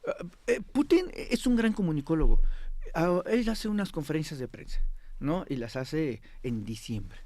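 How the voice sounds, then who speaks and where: Guy with a kinda high voice, expert guest explaining stuff emphatically, really dry studio sound. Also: expressive, moderate pace, darkish tone, no room sound. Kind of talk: interview commentary on politics.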